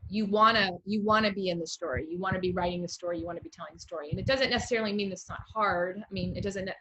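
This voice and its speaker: A woman's voice: mid-range voice, rapid-fire, bright, forceful tone, a little hiss or hum, poor audio. An American woman hosting a casual literary interview, thinking aloud in an encouraging, conversational way. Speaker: interviewer, host, poet.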